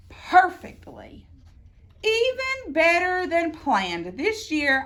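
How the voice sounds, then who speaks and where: A woman reading a children's picture book aloud in an expressive, theatrical way, drawing out words for emphasis. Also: high voice. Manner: theatrical, deliberate phrasing with drawn-out emphasis. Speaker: storybook reader.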